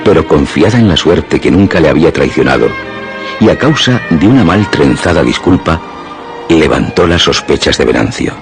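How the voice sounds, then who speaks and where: A man narrating a scripted radio drama in a literary, dramatic way with measured phrasing. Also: extremely low pitch, big pitch swings. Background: music.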